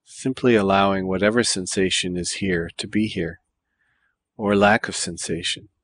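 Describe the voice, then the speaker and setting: A man leading a guided meditation in a calm, measured way, with deliberate phrases and pauses between them. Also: low-pitched, dry recording. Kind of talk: guided body scan meditation.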